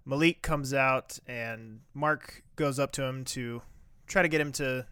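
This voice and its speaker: A man's voice: mid-range voice, measured pace, fairly bright tone, clean tone, no room sound. A man co-hosting and editing a podcast, recapping scenes in casual chat with dry sarcasm. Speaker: podcast co-host and editor.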